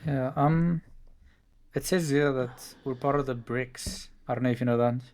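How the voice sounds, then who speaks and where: A man's voice: medium pitch, moderate pace, a little mellow, slight background noise. A young South African man whose first language is Afrikaans, a gamer guest chatting in a hesitant, laid-back way. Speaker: guest, semi-pro gamer. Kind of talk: casual chat.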